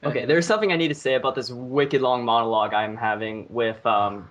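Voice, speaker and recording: A man's voice: mid-range voice, fairly even intonation, fairly quick, fairly bright tone, dull audio. A man who does amateur voice acting, talking casually on a commentary track. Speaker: amateur voice actor.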